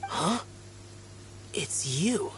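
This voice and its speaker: A man playing a stern, aloof immortal guardian in a video game, speaking in a grave, formal, measured manner. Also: a little high, lively intonation. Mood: curt.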